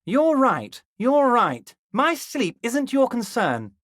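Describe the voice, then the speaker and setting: A man playing a smug, wily old union boss in a video game, folksy and insinuating, with mock modesty and drawn-out emphasis. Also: very high-pitched, huge pitch swings, a bit bright, no echo, clean studio sound, heavily acted. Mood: mock-worried, sly. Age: older. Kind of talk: video game character dialogue.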